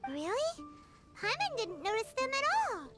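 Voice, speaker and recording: A woman's voice: very high-pitched, wildly swooping pitch, measured pace, heavily acted. A woman voicing a tiny, childlike fairy companion in a video game, chirpy, bossy and given to exclamations. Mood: curious. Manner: exclamatory, speaks of self in third person. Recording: loud game music under the voice.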